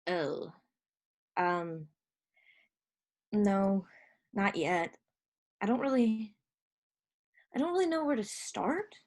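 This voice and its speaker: A woman acting as a shy, awkward young woman in a stage play. She speaks slowly and hesitantly, with a self-deprecating manner. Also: medium pitch, a little mellow. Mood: flustered, hesitant. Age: young adult. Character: Claire, awkward young woman fresh from rehab.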